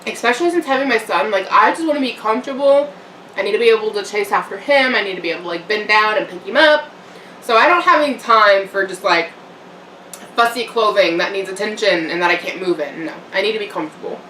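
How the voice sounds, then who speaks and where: A woman's voice: medium pitch, fast talker, bright, forceful tone, a little hiss or hum. A young woman chatting casually while eating on camera, rambling with fillers and little laughs. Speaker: vlogger. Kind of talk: casual eating-show chat. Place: home room, eating on camera. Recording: echoey room, mic a bit far off.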